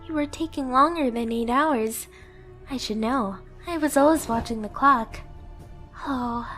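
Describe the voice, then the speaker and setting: A very high, girlish catgirl played by a woman speaks slowly in an anime ASMR roleplay. She is clingy, timid and devoted, with an anxious, possessive streak, and music plays clearly behind her. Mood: relieved, eager, then shy, attentive. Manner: timid, hesitant, breathless pleading to the listener.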